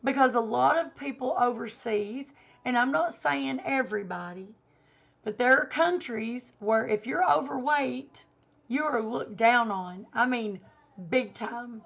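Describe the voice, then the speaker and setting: A woman vlogger giving an indignant opinion rant to camera, hoarse because she has a cold and is losing her voice. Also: fairly high pitch, animated, unhurried, a bit bright, lo-fi sound. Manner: indignant, emphatic.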